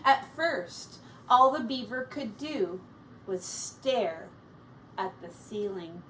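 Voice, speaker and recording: A woman teacher reading a children's picture book aloud expressively, pausing to explain words and comment on the pictures. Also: medium pitch, lively intonation, fairly bright tone. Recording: distant mic with faint background noise.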